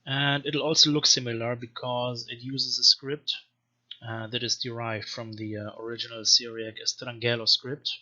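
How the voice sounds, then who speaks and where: A man giving an informal, scholarly language lecture in a deliberate, measured manner, as a teacher explaining and reading aloud. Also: mid-range voice, little pitch movement, bright, forceful tone. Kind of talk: informal explanatory lecture with slow read-aloud text samples. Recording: dull, lo-fi microphone sound.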